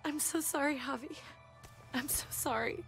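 A woman playing a gentle, sympathetic, consoling young woman in a cinematic video game drama. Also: high voice, moderate pace, gravelly. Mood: sorrowful, tender. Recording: music underneath.

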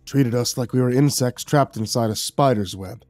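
A man's voice: mid-range voice, normal speed, a little mellow, no echo, soft background music, close to an everyday voice. A man voicing a tired, guilty older father in a spoken horror story, sighing as he talks. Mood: bitter.

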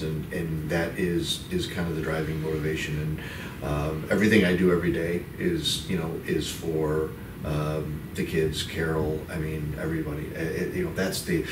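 A middle-aged man hosting a casual office talk show, easygoing and halting, with fillers and restarts. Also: a bass voice. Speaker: host. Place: office lounge set with sofas. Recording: roomy, distant mic with faint noise.

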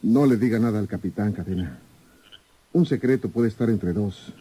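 A man's voice: on the low side, normal speed, mellow, breathy edge, no room sound. An actor playing an uneasy gangster henchman guarding a secret in a radio drama. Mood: uneasy, pleading. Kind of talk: Mexican radio drama acting.

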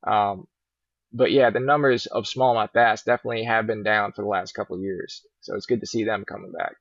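A middle-aged man with a gravelly edge talks in an easygoing, rambling way about life as a fishing guide. His voice arrives dull and muffled through a video call. The sound is dry, with little room echo. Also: lowish voice, steady tone, brisk. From Virginia. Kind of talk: casual podcast interview. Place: large indoor boat showroom. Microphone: laptop webcam on a video call.

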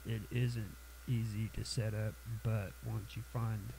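Tutorial host, man, sniffly cold, low gravelly voice, hesitant delivery with lots of ums. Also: little pitch movement, background noise. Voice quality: halting, many fillers and restarts. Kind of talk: casual screen-recorded software tutorial.

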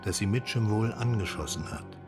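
Deep-voiced man narrating over music. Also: moderate pace. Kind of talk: scripted radio narration of a film scene. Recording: music behind the voice.

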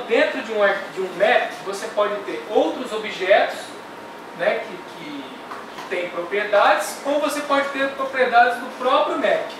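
Man lecturing in an echoey classroom. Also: high voice. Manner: explains step by step, ends phrases with "tá?". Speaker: workshop instructor. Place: classroom with projector screen. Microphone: far camera. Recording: echoey room from far off, noisy.

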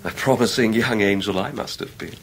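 An actor playing a charming, arrogant young gentleman with an upper-class English accent, teasing, in a radio drama. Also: on the low side, fairly quick, soft background music. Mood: teasing, languid. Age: young adult. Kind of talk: 1990s radio drama acting.

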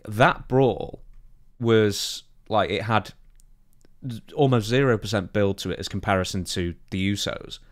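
A man hosting a podcast, giving opinions in casual, informal chat. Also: lowish voice. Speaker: podcast host.

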